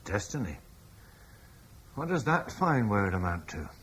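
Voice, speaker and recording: A blunt British war chief. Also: male voice, a little slow, a little hiss or hum. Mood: curious. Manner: blunt and plain-spoken, lively pitch. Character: proud battle-hardened British war leader, blunt. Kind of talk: radio drama acting.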